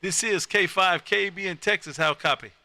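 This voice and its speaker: A man hosting an amateur radio livestream, chatting casually with a loud, folksy showman's manner. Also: high voice, moderate pace, heavy background noise. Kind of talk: casual radio contact chat. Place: home radio room.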